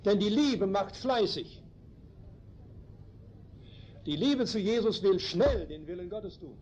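High, lively preacher in a chapel. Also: male voice. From Canada. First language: German. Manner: slow, emphatic, explains Bible verses. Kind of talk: sermon. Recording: dull lo-fi recording, faint noise.

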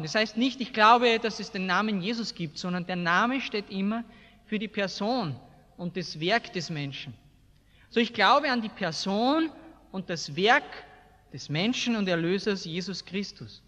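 A man's voice: high voice, animated, a little slow, sharp tone. A man with an Austrian accent preaching a colloquial sermon in a blunt, emphatic way, asking rhetorical questions. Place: large hall, preaching to a seated congregation. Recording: echoey hall with long reverb.

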